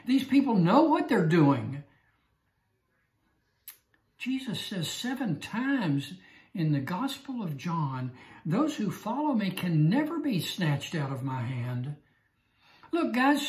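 An older man teaching a Bible study class, slow and emphatic, repeating his key phrases. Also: high-pitched, darkish tone, roomy sound. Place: small room indoors. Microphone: lapel mic.

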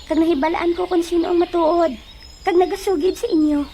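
A woman's voice: very high-pitched, fairly even intonation, brisk, warm tone, a little hiss or hum. A woman playing a friendly forest fairy in a comedic audio drama, with an Ilonggo Filipino accent. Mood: gracious, teasing.

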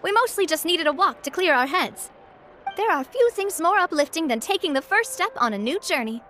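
A woman's voice: extremely high pitch, lively intonation, fairly quick, fairly bright tone, slight background noise. An actress playing a gracious, confident young lady boss in a video game, elegant and sincere, with music behind her. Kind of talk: video game character acting.

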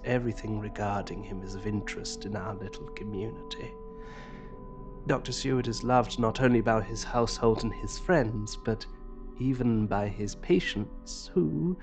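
A man's voice: medium pitch, a little mellow. Ornate, unsettlingly courteous madman. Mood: courtly, pedantic. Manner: ornate, pedantic, precisely phrased. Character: courteous, scholarly male asylum patient with unsettling obsessions. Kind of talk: audio drama acting.

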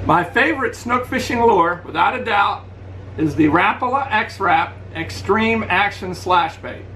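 A middle-aged man talks to a webcam in his living room in a relaxed, practical way. His voice sits fairly high for a man. A faint air conditioner hum runs underneath. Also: low-quality recording. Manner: relaxed, practical, shows lures to camera. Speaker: fishing channel host. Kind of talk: casual gear review. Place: indoors, living room at home.

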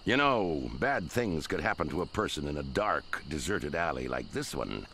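A man playing a menacing, smug sheriff in a pirate video game, gravelly and condescending. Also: very deep voice, very animated, normal speed, pushed and bright, full character voice.

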